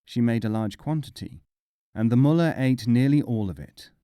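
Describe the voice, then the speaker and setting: A man reading humorous folk tales as a scripted storyteller, in a measured, expressive way. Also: medium pitch, clean tone, no room sound, clean studio sound. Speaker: audiobook narrator.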